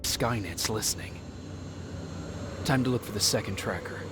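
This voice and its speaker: Grim, wary soldier hero for a game drama, male actor, low register, loud score beneath. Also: normal speed, close to an everyday voice. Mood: hushed, grim. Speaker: soldier protagonist and narrator. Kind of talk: video game dramatic acting. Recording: loud music under the voice.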